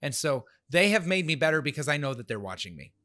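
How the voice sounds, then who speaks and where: A man with a slightly nasal voice co-hosting a casual talk show, animated and laughing often. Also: on the high side, fast, no echo, studio quality. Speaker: podcast co-host. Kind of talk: casual chat.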